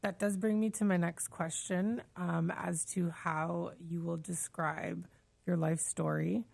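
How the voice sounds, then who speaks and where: Woman podcast host, lowish gravelly voice, casual interviewing, affirming interjections, dry sound. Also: little pitch movement, slow pace, darkish tone, no echo.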